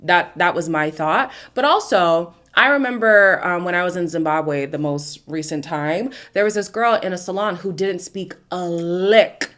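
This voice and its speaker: A woman's voice: fairly low pitch, measured pace, few pauses, a bit bright. A young woman from Canada, whose first language is Ndebele, chatting through a casual recap of a dating show with strong opinions. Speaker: recap host.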